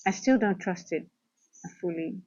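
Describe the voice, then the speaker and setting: A woman's voice: mid-range voice, animated, unhurried, darkish tone, dull audio. A tired woman from the Netherlands with a Dutch accent, chatting casually through a live trading stream and answering viewers.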